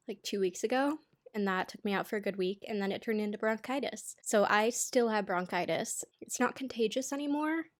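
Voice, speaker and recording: A stuffy-nosed young American woman talks in a loose, chatty way, full of "like" and wry jokes at her own expense. Her voice sits at an ordinary middle pitch and is recorded close and dry, with no room sound around it. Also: no echo. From United States. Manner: chatty, self-deprecating, lots of "like". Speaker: knitting YouTuber. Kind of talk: casual chatty pattern roundup.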